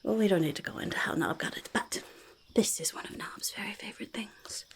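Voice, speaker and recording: A woman voicing a curious, skittish and chatty forest goblin in soft-spoken, half-whispered ASMR roleplay, halting and repeating words. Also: mid-range voice, gravelly, close to an everyday voice. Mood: fond, hushed. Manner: halting, repetitive, speaks of self in third person.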